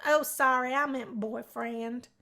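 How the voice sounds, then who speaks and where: A woman voicing a lively, teasing college girl in a visual novel, with a brash manner. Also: high-pitched, takes it slowly, full character voice. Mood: catty.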